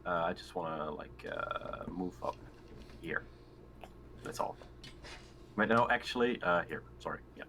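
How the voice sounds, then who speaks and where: A man's voice: low voice, unhurried, pauses often, sharp tone, slight background noise. A man playing a tabletop game, chatting casually and tentatively as he thinks his moves through aloud.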